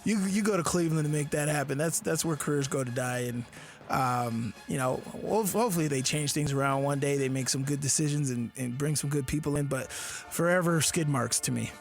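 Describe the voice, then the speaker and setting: A man co-hosting a sports radio show, talking in halting, repetitive bursts and giving blunt opinions. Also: fairly high pitch, creaky, soft background music. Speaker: fill-in radio co-host. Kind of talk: casual sports radio chat.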